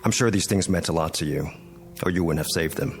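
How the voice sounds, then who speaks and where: A man's voice: low voice, fairly quick. An actor playing a guarded, polite young man with an American accent in a radio drama, speaking in a reserved, terse way. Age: young adult. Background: background music.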